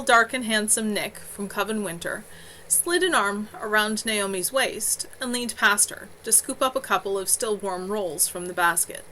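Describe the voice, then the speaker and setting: Animated woman reading a story aloud. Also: mid-range voice, a little hiss or hum, poor audio. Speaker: author reading own novel. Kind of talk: expressive audiobook-style story narration. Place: at home on a live stream.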